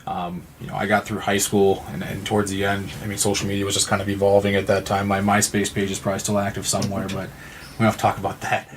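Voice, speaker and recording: A man's voice: lowish voice, monotone, quick pace, slight background noise. A man in his thirties speaking earnestly and conversationally as an interview guest, in a wordy way that circles back on phrases. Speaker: podcast guest from a support non-profit.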